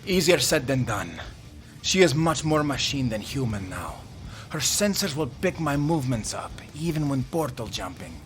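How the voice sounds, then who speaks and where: A man's voice: mid-range voice, very animated, moderate pace, a little hiss or hum. A man playing a wry, sarcastic rival fighter and grudging ally in an audio drama. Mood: wry, irritated.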